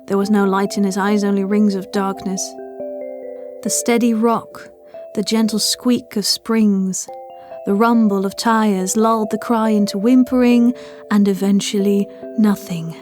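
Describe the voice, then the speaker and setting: A woman's voice: medium pitch, fairly even intonation, a little slow, a little mellow, faint music behind. A woman narrating a scripted first-person horror story as an audio fiction reader.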